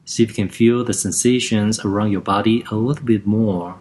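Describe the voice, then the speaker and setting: A man guiding a lying-down relaxation and breathing exercise, giving gentle step-by-step instructions in a calm, even way. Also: lowish voice, fairly quick, a little hiss or hum.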